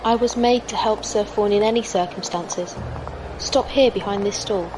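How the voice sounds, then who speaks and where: A woman's voice: medium pitch, moderate pace, over music, natural voice. A woman in a science fiction audio drama playing a loyal, obedient female squire who speaks formally and matter-of-factly. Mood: focused, precise. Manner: formal, precise, matter-of-fact.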